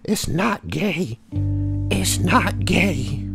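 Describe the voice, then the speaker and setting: Female online narrator, very animated pitch, foul-mouthed comic asides, guitar music underneath. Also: drawn-out words, creaky. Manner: crude, sweary, joking asides. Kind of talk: reading forum posts aloud with crude comic commentary.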